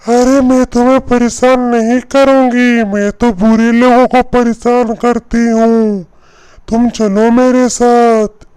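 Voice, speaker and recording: A woman voicing a kind-hearted old witch in a Hindi cartoon story, with drawn-out questioning delivery. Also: a little high, little pitch movement, dark tone, smooth. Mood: reassuring. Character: kind-hearted old female witch who scares villagers.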